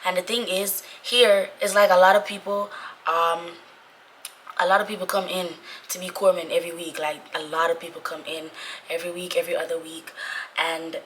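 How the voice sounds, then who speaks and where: A young woman talks casually to camera in a slightly low, forceful voice, raspy and tired out by sickness. She is informal and repeats her phrases to drive a point home. Faint room ambience sits behind her. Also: steady tone, moderate pace, slight background noise, rough recording. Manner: informal, repetitive for emphasis. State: sick, voice going away. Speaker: vlogger, Navy corpsman trainee. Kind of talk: casual chatty vlog talk to viewers.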